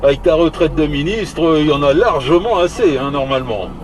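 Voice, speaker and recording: A man chatting casually on CB radio in a halting, repetitive, teasing way. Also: fairly high pitch, expressive. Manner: hesitant, halting, repeating words; jocular, teasing. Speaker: amateur CB radio operator.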